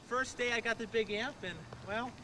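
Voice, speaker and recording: A man's voice: very high-pitched, steady tone, normal speed, poor audio. A man driving a car and testing a big radio amplifier, joking, laughing a lot and hollering into the radio. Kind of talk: casual chat on the road. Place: moving car. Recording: loud road noise, home video.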